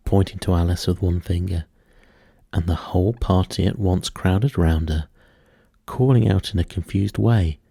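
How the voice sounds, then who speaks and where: Male storyteller, low gravelly voice, husky, soothing scripted narration, dry close sound. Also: dry recording. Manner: measured, hushed, gently paced delivery.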